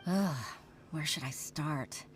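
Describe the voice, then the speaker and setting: A woman's voice: low voice, slow pace, slight background noise. A woman playing a troubled, diligent official in a video game, earnest and formal. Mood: frustrated. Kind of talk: video game character acting. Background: game music.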